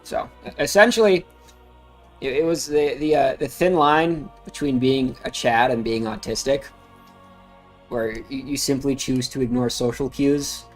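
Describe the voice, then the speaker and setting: A man's voice: medium pitch, unhurried. A man casually talking through crypto charts on a livestream, rambling with frequent fillers. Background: music playing.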